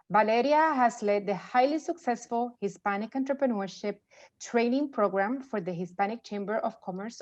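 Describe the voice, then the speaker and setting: A woman's voice: fairly high pitch, darkish tone, lo-fi sound. A woman hosting a fireside chat, giving prepared introductions and putting questions to her guest. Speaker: fireside chat host, corporate marketing consultant.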